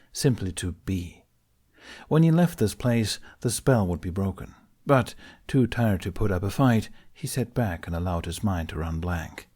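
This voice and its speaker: A man's voice: fairly low pitch, a little mellow. A man reading a fan fiction story aloud, narrating expressively and switching into character voices.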